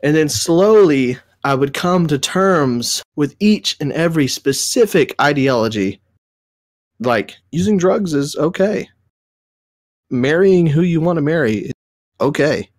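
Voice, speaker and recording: A man's voice: a little high, a little mellow, no room sound, studio quality. Young man, nasal from a cold. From Southern United States. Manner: rambling, self-correcting storytelling. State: recovering from a cold, a bit nasal. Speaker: podcast co-host. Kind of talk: casual chat.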